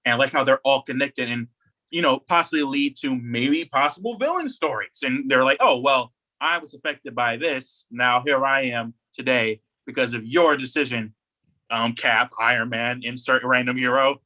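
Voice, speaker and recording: A man chatting casually as a podcast co-host, rambling in an animated way and acting out imagined remarks. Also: mid-range voice, moderate pace, rough recording.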